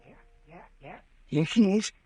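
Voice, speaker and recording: An actor playing a blustering, easily rattled older town mayor in an old-time radio horror drama, with an excitable manner. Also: male voice, high voice, moderate pace, faint music behind, lightly acted. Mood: grumbling. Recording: vintage radio recording, distant mic.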